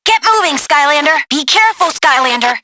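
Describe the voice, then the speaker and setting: A bold young adventurer heroine for a cartoonish video game. Her voice is very high and bright, recorded dry and close. She is bossy and commanding, handing out crisp, urgent directions. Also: lively intonation, a little fast, no echo. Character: brave, bossy young female adventurer guiding heroes. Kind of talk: animated game voice acting.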